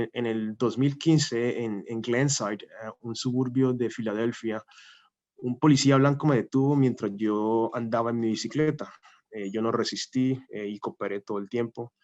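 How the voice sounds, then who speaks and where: A man giving an academic talk as a guest lecturer, speaking in a measured, hesitant way with many "eh" fillers. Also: medium pitch, darkish tone. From Colombia. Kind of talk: academic talk with a personal story. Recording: muffled lo-fi audio.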